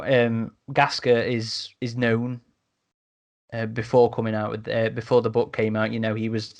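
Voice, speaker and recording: A man in a casual podcast discussion as a host, speaking a little haltingly and repeating words as he goes. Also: on the low side.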